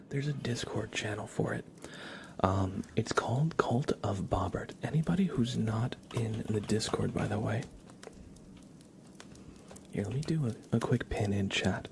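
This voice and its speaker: A soft-spoken man hosting an ASMR livestream, chatting casually and often dropping into a whisper. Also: medium pitch, gravelly, a little hiss or hum. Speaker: livestream host.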